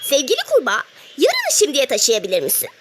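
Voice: sits high, wildly swooping pitch, fast talker, background noise. A performer voicing a cheerful, wise little cartoon ladybug who teaches patiently with coaxing questions. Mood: coaxing, questioning. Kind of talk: children's cartoon dialogue.

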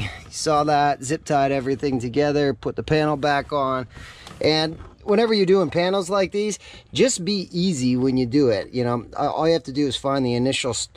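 A middle-aged American man narrating a hands-on DIY job in a casual, conversational way. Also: a little high. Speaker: DIY vlogger. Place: home garage and truck cab. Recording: action-camera audio with clear background noise.

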